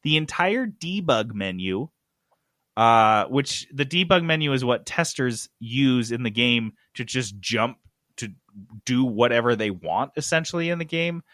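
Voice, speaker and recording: Male gaming podcast host, average pitch, dry sound. Casual, emphatic, sardonic, sweary explainer. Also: measured pace, no room sound. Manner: animated, emphatic, sweary, sarcastic. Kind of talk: casual comic explaining of game industry news.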